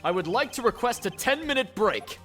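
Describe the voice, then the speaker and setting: A man in an amateur game fandub playing a forceful, formal and insistent courtroom prosecutor. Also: high-pitched, big pitch swings, fairly quick, faint music behind.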